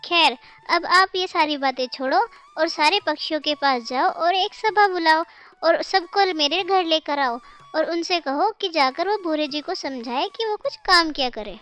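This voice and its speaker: A woman as a high-pitched nagging crow wife. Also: moderate pace, sharp tone. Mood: pleading, fretful. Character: nagging, exasperated crow wife and other female birds. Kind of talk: Hindi cartoon voice acting for children.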